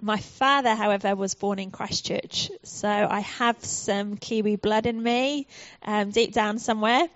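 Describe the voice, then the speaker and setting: A woman's voice: medium pitch, steady tone, a little slow, no room sound, dull audio. A woman from the United Kingdom with a British accent, a charity guest speaker giving a friendly, earnest church talk with frequent ums.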